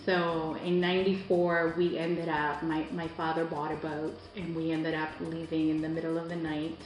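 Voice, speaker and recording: Low-voiced woman in a roomy recording. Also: level tone, normal speed. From Cuba. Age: about 40. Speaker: interviewee. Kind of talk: personal interview, recounting life story. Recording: roomy echo, music behind.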